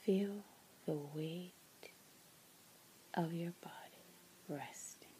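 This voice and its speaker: Very slow, hushed woman guiding meditation. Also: lowish voice, steady tone, slight background noise, poor audio. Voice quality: soft, breathy, largely whispered. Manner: soothing, gentle, with long pauses. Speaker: meditation guide. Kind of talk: guided meditation, ASMR-like.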